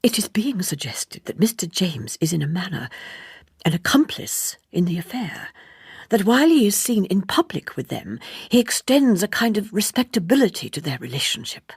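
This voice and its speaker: A woman reading an audiobook, voicing an earnest, fussy gentleman who speaks formally and insistently in roundabout sentences. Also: mid-range voice, sing-song, normal speed, breathy edge, no echo, studio quality, full character voice. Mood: indignant, urgent. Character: earnest, fussy, gossiping gentleman, gravely indignant. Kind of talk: audiobook character dialogue.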